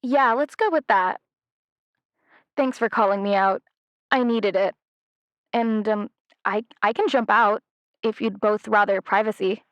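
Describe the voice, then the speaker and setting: A woman's voice: medium pitch, dry recording, natural voice. A woman playing a flighty, apologetic, affectionate ghost in an audio drama, speaking hesitantly as a caller to an advice show over a lo-fi phone line. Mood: sheepish. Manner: hesitant, halting.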